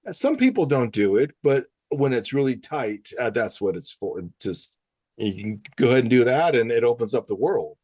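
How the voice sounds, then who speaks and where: A man leads a casual group talk as a speech therapist, rambling a little with restarts and quick bursts. His voice sits mid-pitch, and the recording is slightly muffled and dry. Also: sing-song, a little mellow, husky, no echo. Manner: conversational with many fillers and restarts, sometimes rapid. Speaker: speech-language pathologist leading a discussion.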